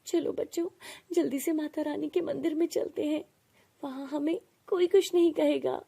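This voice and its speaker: A woman voicing a gentle, devout poor mother in an animated moral story, pleading and earnest. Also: high-pitched, normal speed, creaky, pitched above the performer's own voice. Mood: frightened, urgent.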